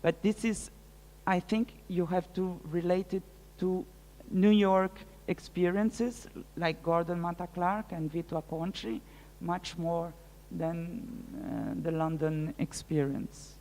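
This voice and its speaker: A woman whose first language is French, answering audience questions as a guest lecturer, thinking aloud with many pauses and fillers. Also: fairly low pitch, fairly even intonation, dark tone, slight background noise. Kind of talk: live public Q&A. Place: large lecture hall. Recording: reverberant hall.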